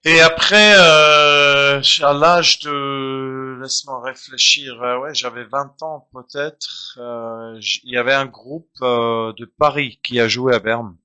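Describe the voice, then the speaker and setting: Guy talking slow in french, bright edgy voice, keeps restarting and hunting for words. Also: medium pitch, steady tone, clean tone. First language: German. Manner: hesitant, searching for words with many restarts. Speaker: guest musician. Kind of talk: casual interview talk.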